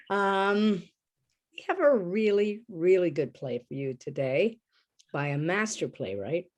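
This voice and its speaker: A woman hosting a live show with casual introductions, her voice a little worn as if she is losing it. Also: mid-range voice. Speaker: show host.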